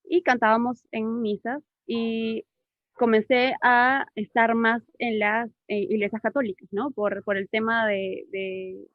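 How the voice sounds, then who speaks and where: A woman's voice: a little high, little pitch movement, a little slow, dry recording. Peruvian woman in her thirties on a dull, dry call. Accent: Peruvian Spanish with a mixed, Cuban-tinged touch. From Peru. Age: 30s. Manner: chatty, many fillers and repeated words. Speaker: interview guest. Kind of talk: personal testimony over a video call. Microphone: video call.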